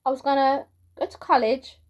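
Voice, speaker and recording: Soft-voiced woman from the UK, fairly high, chatty vlog monologue with lots of fillers. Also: fairly even intonation, moderate pace. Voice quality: soft, girlish voice. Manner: rambling, many fillers, pitch climbs as she gets going. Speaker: vlogger. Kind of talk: casual monologue to camera.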